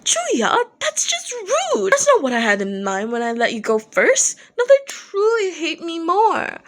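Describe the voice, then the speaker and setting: A woman's voice: very high-pitched, wildly swooping pitch, a little slow, pitched above the performer's own voice, full character voice. A woman voicing a playful, coaxing male rock singer with a showman's manner in a fan fiction reading. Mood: indignant. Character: teasing, theatrical male rock band singer, smooth showman. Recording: noticeable background noise.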